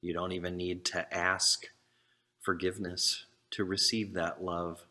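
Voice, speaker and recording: Slow, deep-voiced male preacher. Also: level tone, sharp tone. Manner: earnest, exhortatory, with deliberate pauses and short phrases. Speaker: minister giving a sermon. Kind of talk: sermon.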